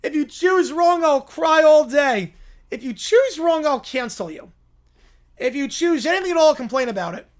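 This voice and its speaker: A woman's voice: sits high, animated, normal speed, voice pushed up. A woman voicing whiny, bratty, weepy small children in a cartoon-style skit, full of petulant complaint. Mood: upset, indignant.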